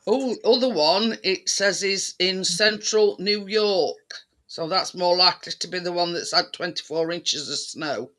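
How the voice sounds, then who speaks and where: A man in casual, rambling chat, often halting as he searches for words. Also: high voice, sharp tone.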